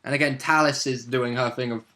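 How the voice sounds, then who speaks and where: A man's voice: medium pitch, steady tone, a little fast, bright, forceful tone. A man who plays tabletop role-playing games, casually retelling his turns in an informal, chatty way. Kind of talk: casual recap of own game turns.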